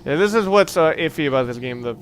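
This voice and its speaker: A man hosting a let's play, commentating casually on a video game in a rambling, halting way with dry joking asides. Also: fairly high pitch, lively intonation, a little hiss or hum. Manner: rambling, halting self-corrections. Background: video game music.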